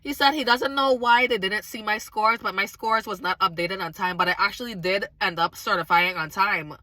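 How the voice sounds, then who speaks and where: Raspy woman giving an earnest testimony. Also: mid-range voice, moderate pace, sharp tone, no room sound. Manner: earnest, emphatic, talks straight to viewers. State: recovering from illness, slightly hoarse. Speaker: vlogger sharing a faith testimony. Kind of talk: casual testimony storytelling.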